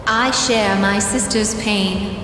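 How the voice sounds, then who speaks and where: A ghostly Valkyrie sister in a fantasy game, drenched in echo. Her delivery is slow, calm and solemn, serene and full of pride. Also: medium pitch. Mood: tender, solemn farewell. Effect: heavy ghostly reverb. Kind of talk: dramatic fantasy game voice acting. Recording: heavy echo, long reverb, faint noise.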